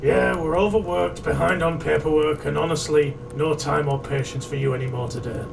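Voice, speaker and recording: Actor as a very gravelly, weary police marshal. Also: male voice, fairly high pitch, steady tone, moderate pace. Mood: curt, impatient. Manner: curt, dry, formal. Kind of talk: noir audio drama. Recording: noisy, slightly distant mic.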